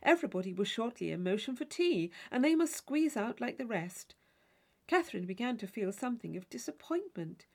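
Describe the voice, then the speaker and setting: Woman, audiobook reader, literary narration, highish voice, very varied intonation, noticeable fry. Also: big pitch swings, fairly quick, a little mellow. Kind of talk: scripted literary narration of a classic novel.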